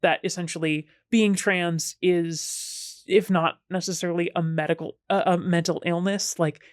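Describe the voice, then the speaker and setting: A woman's voice: fairly even intonation, normal speed, no room sound. Chatty woman with a dry close sound. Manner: chatty and self-deprecating, with sudden playful jumps in pitch. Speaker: guest. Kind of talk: casual podcast conversation.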